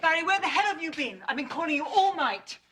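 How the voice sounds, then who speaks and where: High-voiced scolding doctor; hissy clip. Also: female voice, animated, quick pace. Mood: annoyed. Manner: scolding, demanding. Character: sharp, exasperated female doctor. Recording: noisy TV drama clip.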